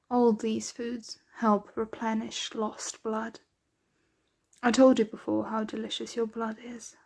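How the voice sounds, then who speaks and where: A woman playing a centuries-old, doting, aristocratic vampire mistress in an ASMR roleplay, speaking softly, tenderly and without hurry, often slipping into whispers. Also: on the high side, gravelly, husky, heavily acted. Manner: soft, unhurried, tender, often drifting into whispers.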